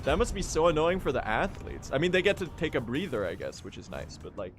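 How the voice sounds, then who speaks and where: A young man from Sweden talks to his stream chat while he plays, high-pitched and bouncing all over his range. He jokes about himself and breaks into sudden yells at the game. Loud game music runs under his voice. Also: sing-song, fast talker. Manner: jokey, self-mocking, bursts into yelling at the game. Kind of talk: live gaming stream chat.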